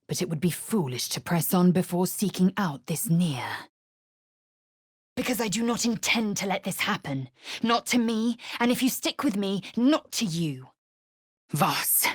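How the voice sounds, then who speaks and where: Haughty alien warrior lady for a fantasy game, lowish raspy voice, slow n clipped, super blunt and fierce. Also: no echo, clean studio sound. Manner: imperious, clipped, formal phrasing. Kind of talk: video game character acting.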